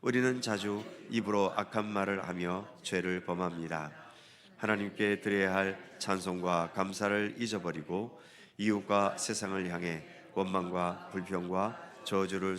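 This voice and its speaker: A man's voice: low-pitched, a bit bright. A male pastor leading a church service in solemn liturgical recitation, his voice nasal from a head cold. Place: church sanctuary.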